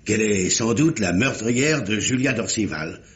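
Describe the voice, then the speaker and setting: Animated, fussy magistrate uncle, acted by a man. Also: lowish voice, moderate pace, reverberant, close to an everyday voice. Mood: grave, firm. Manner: theatrical, hesitant repetitions, commanding. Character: fussy, authoritative examining magistrate, an uncle questioning his nephew. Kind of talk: 1950s French radio mystery acting.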